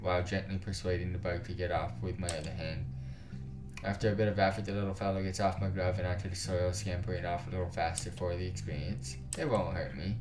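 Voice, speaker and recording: A man reading a visual novel aloud for a gaming video, narrating the story and dropping into casual, slangy, sometimes sweary asides. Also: deep voice. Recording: roomy echo, music behind. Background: game music.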